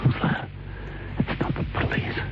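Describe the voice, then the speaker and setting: An actor playing a rattled murderer muttering to himself in an old radio drama. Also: male voice, a little slow, very noisy, close to an everyday voice. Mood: alarmed. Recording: dull old radio recording with music.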